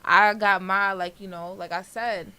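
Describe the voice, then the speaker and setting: A woman's voice: mid-range voice, steady tone, a little slow, a crowd in the background. A woman in a casual debate, talking with lots of fillers. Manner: filler-heavy, says "like" often.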